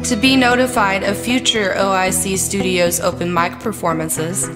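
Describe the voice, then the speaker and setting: A woman delivers a scripted announcement in a medium-pitched voice. Music plays clearly behind her words. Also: fairly even intonation, moderate pace, rough recording.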